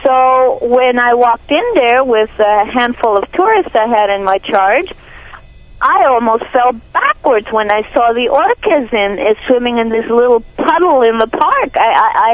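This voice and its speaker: A woman's voice: a little high, no echo. A woman from Peru, a native Spanish speaker with a Peruvian Spanish accent, speaking as an activist guest in a radio interview, earnest and indignant with frequent restarts. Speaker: animal rights activist guest. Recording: dull lo-fi radio broadcast.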